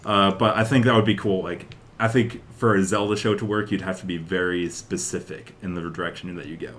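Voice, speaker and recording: A man's voice: deep voice, fairly even intonation, brisk, a bit bright, slight background noise. A young man co-hosting a podcast, chatting casually about games and films in an enthusiastic, rambling way with hesitant phrasing. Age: young adult. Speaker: podcast co-host.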